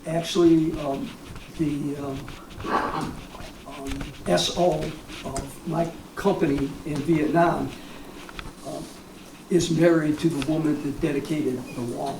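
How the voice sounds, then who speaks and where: Echoey, halting older American man, higher-pitched. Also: fairly even intonation. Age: 70s. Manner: halting, rambling delivery with frequent pauses. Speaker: war veteran telling his experiences. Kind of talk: informal reminiscence at a public talk. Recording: echoey, distant mic, clear background noise.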